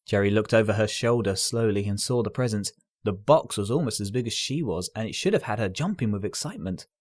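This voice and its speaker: A man reading a scripted story aloud as narrator, in an expressive, earnest and dramatic storytelling style. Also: on the low side, brisk, no echo, clean studio sound.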